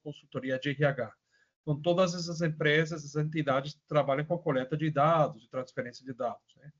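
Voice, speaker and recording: A man's voice: fairly high pitch, fairly even intonation, a little fast, a bit bright. A man with a Venezuelan accent presenting a webinar over a video call, speaking casually with many fillers and tag questions. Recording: muffled lo-fi audio.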